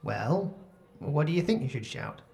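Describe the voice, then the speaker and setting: A man's voice: on the high side, big pitch swings, a little slow, vocal fry, darkish tone, husky, full character voice. A man playing a sly, bossy, cheeky schoolboy in an amateur audio drama. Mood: bossy, sardonic. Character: Pete, scheming cheeky schoolboy pal in trunks.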